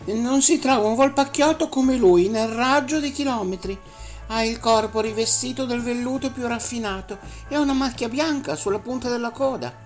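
Woman voicing a doting, frantic mother fox. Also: natural voice. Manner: pleading, emotional, high and loud.

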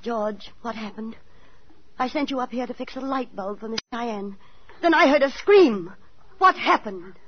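An actress playing a prim, motherly middle-aged landlady who tells her story in measured 1940s radio drama style. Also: fairly high pitch, lively intonation, breathy edge. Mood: anxious. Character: Miss Leonora Plim, prim motherly boarding-house landlady telling her story. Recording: muffled 1940s radio broadcast, faint music.

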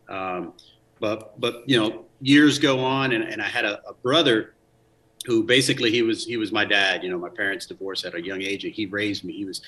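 Low-voiced, bright-toned man in his forties. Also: poor audio. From Dominican Republic. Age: 40s. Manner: many restarts and fillers, earnest. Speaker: guest, Army command sergeant major. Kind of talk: casual interview storytelling.

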